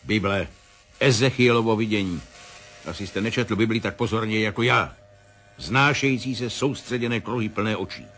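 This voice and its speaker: An actor playing a brooding military colonel who probes and muses in a radio play. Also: male voice, lowish voice, fairly bright tone, soft background music. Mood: probing. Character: brooding male military colonel investigating a crash.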